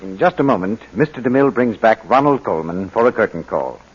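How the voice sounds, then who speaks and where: A male announcer reads a script in 1940s radio style. His husky voice has animated intonation, and the old broadcast is muffled. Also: lowish voice, brisk.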